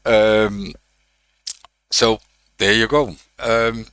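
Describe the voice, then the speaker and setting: A man's voice: lowish voice, little pitch movement, drawn-out words, fairly bright tone, clean tone, no room sound. A man hosting an electronics tutorial, talking casually through his project with frequent fillers and restarts. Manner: thinking aloud, frequent uh and restarts. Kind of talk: casual technical walkthrough. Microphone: desk microphone, switched on and off.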